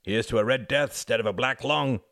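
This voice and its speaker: A man's voice: mid-range voice, moderate pace, creaky, fairly bright tone, no echo, studio quality, voice pushed up. A man voicing an earnest, sardonic young working-class man in a storybook, speaking in an emphatic, rhetorical way. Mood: wry storytelling.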